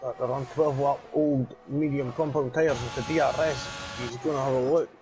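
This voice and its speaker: A man co-commentating a sim race in a casual, chatty way, breaking into excited exclamations when cars go off. His voice sits at an ordinary pitch, and a faint hiss of noise runs under it. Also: moderate pace. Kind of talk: sim racing color commentary.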